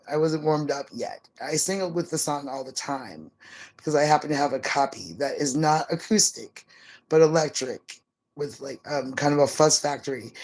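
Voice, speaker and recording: A middle-aged woman chatting casually as a livestream co-host, in an easygoing, slightly halting, musing way. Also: low-pitched, a little mellow, rough recording. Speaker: live stream co-host.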